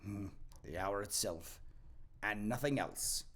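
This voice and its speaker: A woman playing a shaken old miser in an audio play, speaking in a halting, muttering way. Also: a bass voice, exaggerated voice. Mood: fearful, halting.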